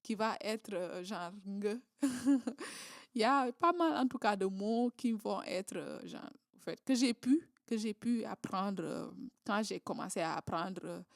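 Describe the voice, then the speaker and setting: A woman's voice: medium pitch, expressive, slow, vocal fry, no echo, clean studio sound. A woman from southern Senegal, a Creole speaker, talking in a casual interview with many fillers and restarts. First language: Creole, Diola and Wolof. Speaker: guest.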